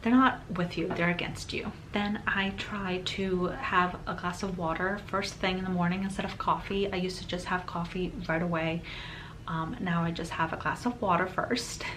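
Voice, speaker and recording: A woman's voice: fairly low pitch, fairly bright tone, slight background noise, low-quality recording. A woman in her thirties narrating a planner video in a chatty, rambling way, her voice a little off from a cold she is getting over. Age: 30s. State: slightly off voice from a cold. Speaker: planner vlogger.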